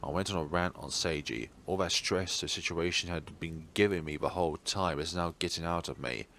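A man's voice: deep voice, normal speed, fairly bright tone. A man reading a visual novel's story aloud as dramatic narration for a let's play. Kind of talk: dramatic narration of game text.

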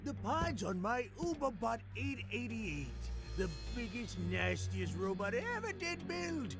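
Cantankerous old tinkerer, game character, creaky high voice, folksy, forgetful. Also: male voice, sing-song, a little slow, exaggerated voice. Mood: folksy bragging. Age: older. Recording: music under the voice.

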